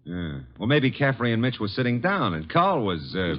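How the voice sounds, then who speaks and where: An actor in an old-time radio drama playing a brash, skeptical detective's assistant with a wry, slangy way of talking. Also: male voice, mid-range voice, very animated, normal speed. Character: wisecracking, skeptical male detective's legman, brash and flirtatious. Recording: muffled vintage radio recording.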